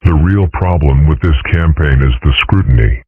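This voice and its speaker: A man's voice: extremely low pitch, moderate pace, husky, no echo. A man voicing a mild, bemused dragon father running for office in a comic dub. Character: older male dragon political candidate, mild and bemused. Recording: tinny, phone-like, dry.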